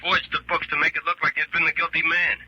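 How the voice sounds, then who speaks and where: A grim avenger on the telephone in an old radio drama. His highish male voice is hard and cutting, with a deliberate, mock-polite taunt, on a vintage recording. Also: flat delivery, pushed and bright, rough recording. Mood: bitter, accusing. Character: menacing avenging caller, a grimly taunting man. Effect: telephone voice filter. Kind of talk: 1940s radio mystery acting.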